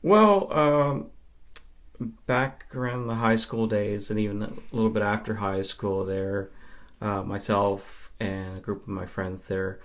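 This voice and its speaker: A man's voice: fairly low pitch, unhurried. A man from Nova Scotia, Canada, chatting casually as an interview guest and film fan.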